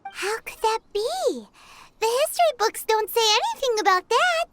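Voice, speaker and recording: A woman's voice: very high voice, moderate pace, heavy background noise. A woman voicing a small, chirpy, curious childlike fairy companion in an anime-style video game. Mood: surprised. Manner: refers to self in third person, excitable.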